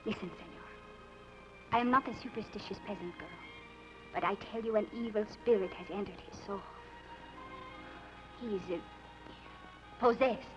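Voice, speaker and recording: An actress playing a frightened young Latin American woman who speaks formally and in hushed tones, in 1940s radio-play style. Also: medium pitch, moderate pace, pauses often, music in the background, close to an everyday voice. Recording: dull old radio recording with faint hiss.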